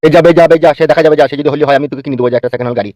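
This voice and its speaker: A man's voice: on the high side, very fast, dark tone, no room sound, lightly acted. A man playing a scheming, bossy middle-aged village uncle in a comic cartoon. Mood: bossy, persuasive.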